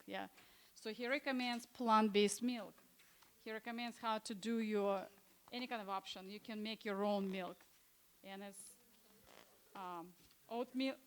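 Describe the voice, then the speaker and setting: Smooth-voiced woman presenting health books. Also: on the high side. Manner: conversational, with frequent hesitations and restarts. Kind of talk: informal presentation at a church seminar. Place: church hall.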